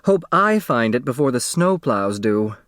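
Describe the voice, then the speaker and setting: A man's voice: medium pitch, big pitch swings, measured pace, a bit bright, dry recording, studio quality. A man voicing a breezy, oblivious, sociable middle-aged mother in an audiobook. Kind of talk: audiobook character dialogue.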